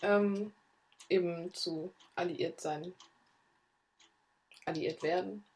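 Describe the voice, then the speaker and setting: A woman co-hosting a podcast, chatting casually with playful quick interjections. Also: on the low side, steady tone, darkish tone. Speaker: podcast co-host. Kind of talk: casual chat.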